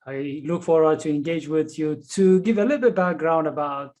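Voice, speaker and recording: A man from Ethiopia with an Ethiopian accent, speaking as a guest in an interview and explaining his background in an earnest, deliberate way. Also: a little high, a little mellow. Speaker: guest, leadership coach and author. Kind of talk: interview answers.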